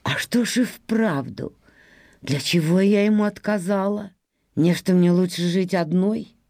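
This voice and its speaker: A woman's voice: medium pitch, unhurried, dark tone, dry recording, clean studio sound, close to an everyday voice. A woman voicing a haughty, fickle heron with a disdainful, folksy manner in an animal tale. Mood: musing, reconsidering. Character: haughty, fickle female heron, scornful and proud.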